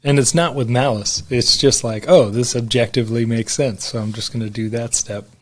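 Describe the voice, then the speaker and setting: A man with an average-pitched voice talks as a podcast co-host. He speaks informally, as if chatting with friends. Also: steady tone, normal speed. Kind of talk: casual chat.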